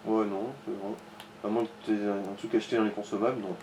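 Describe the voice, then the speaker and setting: A man's voice: lowish voice, flat delivery, quick pace, warm tone, a little hiss or hum. A man making offhand, slangy remarks as a co-commentator on a video game. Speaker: co-commentator advising the player. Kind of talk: casual chat over gameplay. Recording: distant mic, music behind.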